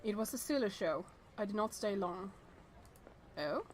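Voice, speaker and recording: A woman's voice: mid-range voice, animated, unhurried, slight background noise. A woman reading visual novel text aloud and adding chatty reactions as she plays. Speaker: let's-play streamer.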